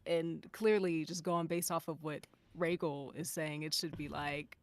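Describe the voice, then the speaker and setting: Casting: female after-show guest, slightly low voice, playful casual conversation, very dry sound. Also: steady tone, unhurried, no echo. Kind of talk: casual chat.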